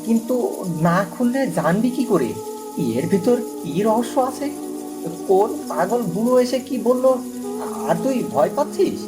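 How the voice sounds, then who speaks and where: A woman voicing a bold, scornful and curious young villager in an animated horror story. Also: on the high side, a little slow. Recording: music behind the voice.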